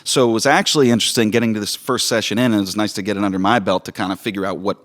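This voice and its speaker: A man's voice: fairly low pitch, steady tone, racing, fairly bright tone. A man talking casually and reflectively as a director, explaining his process in a conversational way. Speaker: director with a theater background. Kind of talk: casual behind-the-scenes production chat.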